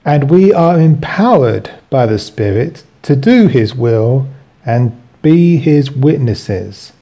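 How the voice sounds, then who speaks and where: A man reading his own written teaching aloud as host, in a deliberate, explanatory way. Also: mid-range voice, big pitch swings, warm tone.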